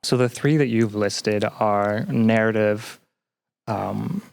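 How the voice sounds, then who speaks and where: A man speaking as a podcast guest, explaining things casually with hesitant, thoughtful, halting phrasing. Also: on the low side, vocal fry, darkish tone, clear, resonant tone, no room sound. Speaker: podcast guest, game developer and researcher.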